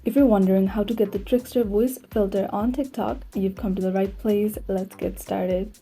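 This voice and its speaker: Dark, muffled-toned woman giving tutorial narration. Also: mid-range voice, fairly even intonation, normal speed, soft background music. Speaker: tutorial host.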